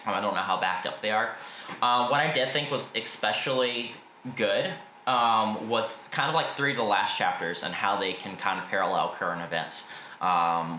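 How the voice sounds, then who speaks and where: A man's voice: fairly low pitch, pushed and bright. A man giving a casual, informal spoken book review, blunt with his opinions but often hedging them. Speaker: book reviewer and video host. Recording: echoey room with faint background noise.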